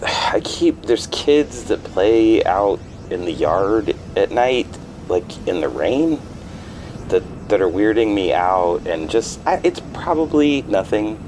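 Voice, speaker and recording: A man playing an uneasy man who lives alone, hesitant and halting, in a naturalistic audio drama phone conversation. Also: lowish voice, background noise. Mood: uneasy, grateful. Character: uneasy man living alone in a creepy house. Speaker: caller.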